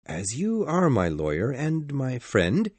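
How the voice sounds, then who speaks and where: A man acting a grave, formal gentleman in a gothic mystery audiobook, speaking in a deliberate, earnest way. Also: medium pitch, huge pitch swings, slightly rough, no echo, full character voice. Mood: earnest, insistent. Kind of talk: dramatised audiobook dialogue.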